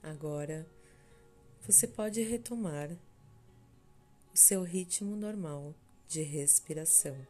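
A Brazilian woman guides a meditation in a low, mellow voice. She speaks slowly and soothingly, leaving long pauses between short, measured phrases. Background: background music.

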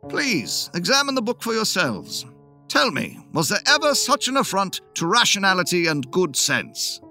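An earnest, confident Victorian gentleman, as a male storyteller reads him who addresses his club with a raised, declaiming voice. His pitch sits high and swings widely as he makes his case. The tone is bright and forward. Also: huge pitch swings, moderate pace, soft background music, full character voice. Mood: persuasive.

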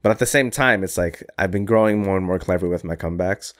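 A young man co-hosting a casual chat, animated and jokey, swearing and laughing often. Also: low-pitched, fast talker. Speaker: podcast co-host.

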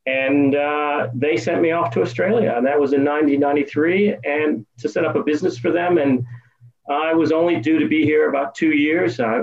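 A man's voice: on the high side, creaky, a little mellow, highs cut off. A man in his fifties, originally from the United States, answering interview questions in a casual, hesitant way with many ums and restarts. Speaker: guest. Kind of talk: casual interview over a call.

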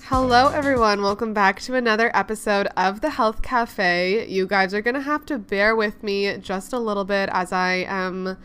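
A woman's voice: mid-range voice, moderate pace, few pauses, fairly bright tone, soft background music. Congested woman hosting a chatty podcast. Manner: chatty and upbeat. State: congested, recovering from lost voice. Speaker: podcast host. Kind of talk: casual podcast chat.

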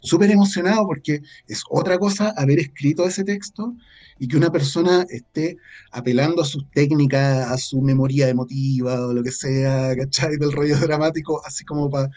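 A man's voice: fairly high pitch, dark tone. A man with a Chilean Spanish accent, talking casually and reflectively as an interview guest, peppering his speech with colloquial slang. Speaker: guest, audio drama creator and scriptwriter.

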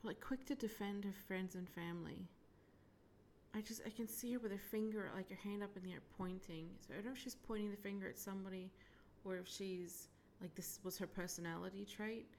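A woman with a croaky voice, giving a hesitant psychic reading full of ums and whispered asides. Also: mid-range voice, steady tone, brisk, darkish tone, a little hiss or hum. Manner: hesitant, filler-heavy, drifting into whispered asides. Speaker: psychic medium. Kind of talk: solo psychic reading monologue.

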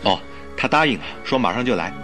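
An actor playing a polite, loyal doctor in a radio drama, deferential and earnest with an anxious edge. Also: male voice, mid-range voice, expressive, fast talker, bright, forceful tone, faint music behind. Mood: anxious, puzzled. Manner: courteous, humble, earnest. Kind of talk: Mandarin radio drama acting.

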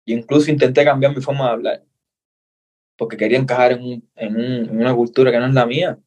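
A man's voice: mid-range voice, steady tone, quick pace, a little mellow. Earnest Cuban man sharing his story. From Cuba. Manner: earnest, repeats himself, says brother. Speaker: guest. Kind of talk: casual interview.

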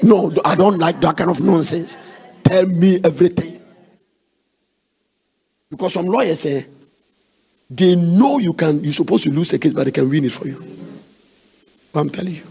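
A Ghanaian man preaches in a very gravelly, husky voice pitched fairly high. He is emphatic, repeating phrases for weight and slipping into conversational asides and questions to his listeners. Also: dark tone. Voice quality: raspy, gravelly. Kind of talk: sermon with questions to the congregation.

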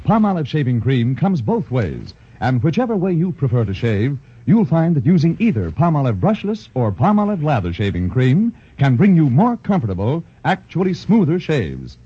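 A man reading a radio commercial in a husky voice that rises and falls a lot. His delivery is a brisk 1940s sales pitch. The broadcast sound is dull and muffled. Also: wildly swooping pitch. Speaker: commercial announcer.

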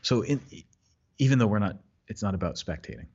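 A man's voice: fairly low pitch, expressive, a little fast, darkish tone, slightly rough, muffled recording. A man who preaches, co-hosting a podcast in casual chat, easygoing and chuckling, often restarting his sentences.

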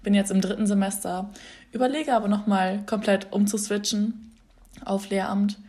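A twenty-one-year-old woman introduces herself on a friends' podcast. She is relaxed and laughs easily, with a voice of average pitch. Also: moderate pace. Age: 20s. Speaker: guest. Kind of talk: casual chat.

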